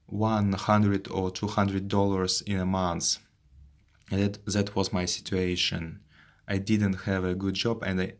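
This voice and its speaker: A Russian man in his twenties, a native Russian speaker telling his own story in English, haltingly, with many fillers and repeated words. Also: low voice. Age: 20s. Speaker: vlogger. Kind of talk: personal story to camera.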